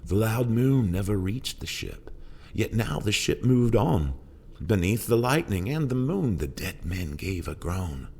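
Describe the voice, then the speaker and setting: A man's voice: fairly low pitch, big pitch swings, measured pace, creaky, a little mellow, husky, pitched above the performer's own voice, full character voice. A man voicing a haunted old sailor in a solemn, theatrical recitation of narrative verse. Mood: haunted, intense. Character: haunted old male sailor recounting ghostly ordeal at sea. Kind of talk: dramatic poetry recitation. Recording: music under the voice. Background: plucked guitar music.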